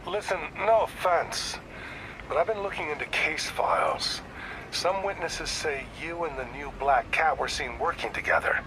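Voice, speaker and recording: A man's voice: on the high side, big pitch swings, moderate pace, background noise. A man voicing an older police detective in a video game, world-weary, guarded and matter-of-fact in his talk. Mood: probing, blunt.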